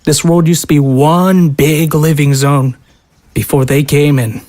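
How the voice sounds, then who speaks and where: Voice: mid-range voice, steady tone, measured pace, a little mellow, lightly acted. An actor voicing a hardened, blunt recruiter for a survivor militia, matter-of-fact and persuasive. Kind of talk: amateur audio drama acting.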